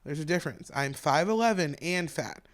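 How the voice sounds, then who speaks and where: A woman with a deep voice, a stand-up comedian talking frankly as a podcast guest in casual conversation. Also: lively intonation. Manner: frank, talks fast in long run-on explanations.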